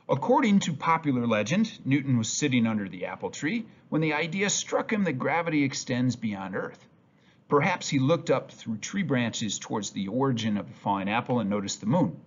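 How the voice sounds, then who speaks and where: A man reading a textbook aloud as a teacher, deliberate and emphatic, with occasional stumbles and a husky voice. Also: mid-range voice. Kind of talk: read-aloud lesson with brief asides. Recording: dull lo-fi sound.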